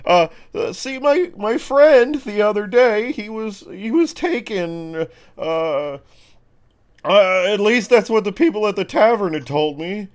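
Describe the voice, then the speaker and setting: Man putting on a high, nerdy, nervous nobleman. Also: expressive, unhurried. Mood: anxious rambling. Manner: stammering, hesitant, eager. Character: nervous, rambling disheveled young nobleman seeking help.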